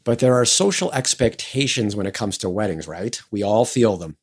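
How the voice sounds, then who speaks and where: A man co-hosting a finance show, talking in a measured, thoughtful way and narrating the segment. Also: on the low side, lively intonation, husky, no echo. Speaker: podcast co-host.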